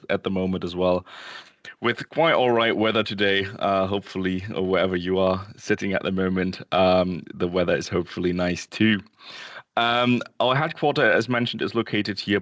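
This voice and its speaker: Low-voiced man presenting, halting delivery. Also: a bit bright, dry recording. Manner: halting, hesitant delivery with many ums and uhs. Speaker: company representative, webinar presenter. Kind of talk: informal product presentation. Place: indoors, Berlin office.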